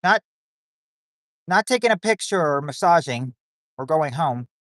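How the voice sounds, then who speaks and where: A man's voice: a little high, animated, a little slow, a bit bright, dry recording, clean studio sound. A man hosting a livestream of a quiz game, rambling as he reads chat and greets viewers.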